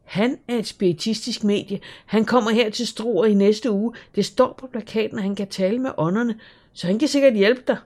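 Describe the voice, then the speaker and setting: A narrator voicing an eager, gossipy young girl who works at a guesthouse, talking quickly and boldly. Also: mid-range voice, steady tone, a little mellow, pitched above the performer's own voice, natural voice. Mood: excited. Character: chatty bold girl working at a guesthouse.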